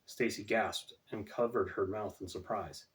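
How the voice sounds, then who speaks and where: A man's voice: lowish voice, fairly even intonation, a little slow. A man reading his own fantasy novel as scripted audiobook narration, recorded away from the microphone.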